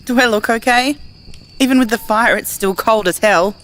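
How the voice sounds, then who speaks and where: A woman's voice: a little high, moderate pace, fairly bright tone, lightly acted, rough recording. A woman acting as a worn-out, complaining survivor in an audio drama, speaking naturally with a sarcastic, grumbling manner. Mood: dry teasing. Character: Jackie, weary complaining female survivor in a plague-ridden America.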